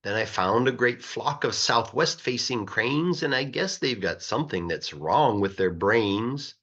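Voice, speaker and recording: A man narrates a rhyming children's storybook with a highly animated voice of medium pitch. His delivery rises and falls in a sing-song rhythm, with key words stretched for emphasis. The recording sounds a little dull and lo-fi. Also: measured pace. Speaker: English teacher reading a children's story aloud.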